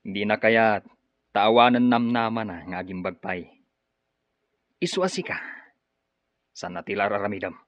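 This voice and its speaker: A man's voice: on the low side, measured pace, pauses often, no echo, lightly acted. A man with an Ilocano Filipino accent acting a sly, impatient schemer in a radio drama. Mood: pushy, irritated. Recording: dull lo-fi radio, music behind.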